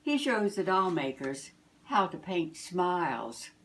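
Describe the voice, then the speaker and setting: An older woman reads a children's story as a grandmother would. Her voice is low, and she takes her time, telling it very slowly with deliberate expression. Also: big pitch swings. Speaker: grandmother reading aloud.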